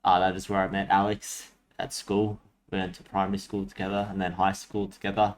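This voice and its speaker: Lowish-voiced Australian man in his twenties. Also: completely flat delivery, brisk, a little hiss or hum. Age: 20s. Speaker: podcast co-host. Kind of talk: casual chat.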